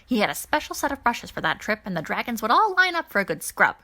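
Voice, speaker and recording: A woman voicing a jaunty, boastful older alien gentleman in an audiobook, telling nostalgic stories with theatrical flourish. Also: a little high, big pitch swings, fast, heavily acted. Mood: fond, boastful.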